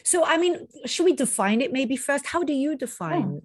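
A woman in her fifties hosting a podcast, who introduces her guest and asks questions in a warm, encouraging way. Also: high-pitched, lively intonation, normal speed, no room sound. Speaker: podcast host. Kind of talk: podcast interview. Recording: dry close mic with faint music.

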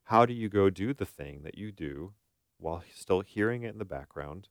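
A man's voice: sits low, expressive, normal speed, no room sound, studio quality. A man co-hosting a conversational podcast, explaining things in an informal, illustrative way. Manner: explains through analogies and acted-out quotes. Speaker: podcast co-host and therapist.

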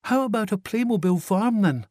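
A small, matter-of-fact girl chatters in a high, lilting voice, as a male storyteller puts her on. The pitch jumps about as she talks. The sound is close and dry. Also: expressive, dry recording. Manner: high, bright, quick child's voice.

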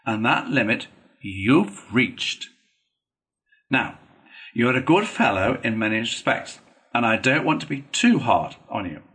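A man voicing a grave, slow-spoken storybook badger. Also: medium pitch, sing-song, a bit bright. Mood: stern. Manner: grave, eloquent, admonishing. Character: stern, solemn older male badger, lecturing and fatherly. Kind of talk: storybook character reading.

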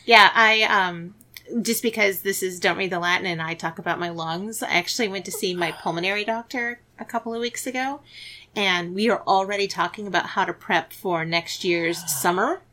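A woman's voice: medium pitch, normal speed, bright, forceful tone. A woman hosting a podcast, chatting casually about movies, laughing often and restarting her sentences. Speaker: podcast host. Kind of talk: casual chat about horror films.

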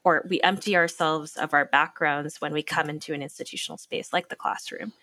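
A woman's voice: sits low, steady tone, fairly quick, pushed and bright, dry recording. A woman who writes criticism and teaches, speaking in a reflective conversation and searching for her words.

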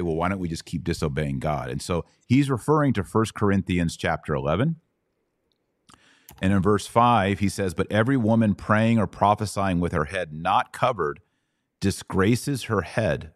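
A man's voice: low-pitched, no room sound, studio quality. A middle-aged man hosting a podcast, casually teaching in a live Bible Q&A and explaining things with paraphrased quotes in everyday words. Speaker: podcast host answering Bible questions.

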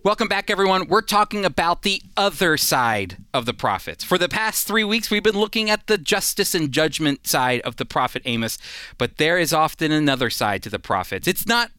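A man's voice: fairly high pitch, animated, normal speed, sharp tone, clean tone, no echo, soft background music. A man teaching the Bible on a radio program, emphatic, asking his listeners rhetorical questions.

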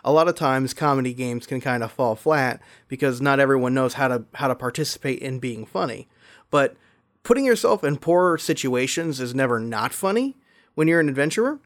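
A man's voice: medium pitch, animated, a little fast. A man speaking as an interview guest and game master, explaining in an articulate, conversational way.

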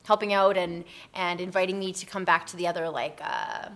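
A woman's voice: lowish voice, steady tone, brisk, fairly bright tone. Woman telling stuff about her project, informal, says like a lot, pokes fun at herself. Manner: chatty, informal, self-deprecating, peppered with like. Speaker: interview guest, community project founder. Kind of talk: casual chat.

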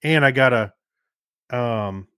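A man's voice: medium pitch, slow, fairly bright tone, clear, resonant tone, no echo, clean studio sound. A man co-hosting a podcast, chatting in an informal, rambling way. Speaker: podcast co-host. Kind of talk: casual chat.

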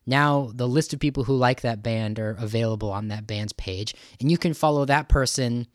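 A man hosting a podcast in a casual, halting, thinking-aloud way as he talks with a guest. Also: mid-range voice, dry recording, clean studio sound. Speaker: podcast host interviewing a guest. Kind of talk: casual conversational podcast talk.

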